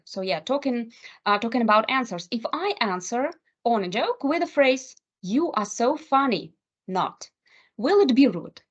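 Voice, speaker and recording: A woman co-hosting an online English speaking club, relaxed and conversational as she reads out questions and answers them. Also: mid-range voice. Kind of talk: casual online English lesson chat. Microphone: video call. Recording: lo-fi video call audio.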